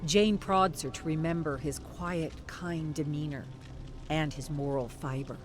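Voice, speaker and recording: A narrator reading a game's story passages in a steady storytelling manner. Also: mid-range voice, unhurried. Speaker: game narrator. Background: game music.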